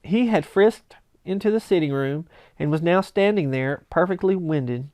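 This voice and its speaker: A man reading a classic story aloud as narrator, with expressive, theatrical and emphatic delivery. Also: fairly high pitch, normal speed, dry recording. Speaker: narrator, audiobook reader.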